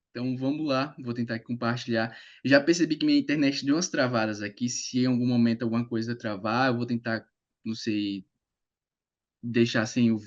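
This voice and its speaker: Young male presenter, Brazilian accent, average pitch, informal talk, muffled online-call sound. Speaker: presenter, journalism graduate. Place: video call. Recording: dull lo-fi internet call.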